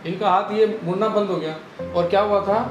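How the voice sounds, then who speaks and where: A man in his thirties, a physiotherapist, calmly explaining exercises step by step in a clinic room, on a lapel mic. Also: high-pitched, fairly quick, dull audio, music in the background. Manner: calm, instructive, explains step by step.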